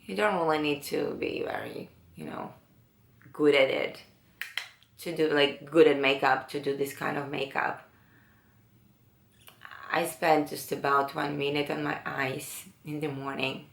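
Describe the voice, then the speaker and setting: A woman with a low, raspy voice chatting slowly through a makeup tutorial, pausing often. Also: lively intonation, echoey room. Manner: unhurried, musing, with many pauses and fillers. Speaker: beauty vlogger. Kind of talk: casual makeup tutorial chat.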